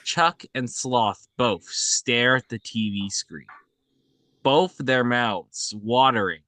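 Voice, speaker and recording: A man narrates stage directions for a script table read. His voice is bright and dry, and he reads slowly and haltingly, often correcting himself. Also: mid-range voice, dry recording.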